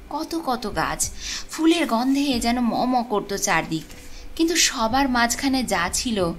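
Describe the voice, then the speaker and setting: A woman reads a literary story in a measured, expressive storytelling manner. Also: mid-range voice, a little hiss or hum. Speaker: audio story narrator. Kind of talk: scripted literary story narration.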